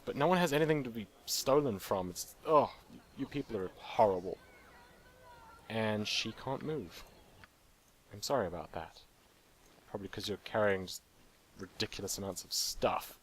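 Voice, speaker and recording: Playful man narrating his gameplay. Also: mid-range voice. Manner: playful, jokey, talks to game characters. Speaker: let's play gamer. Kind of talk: casual gameplay commentary.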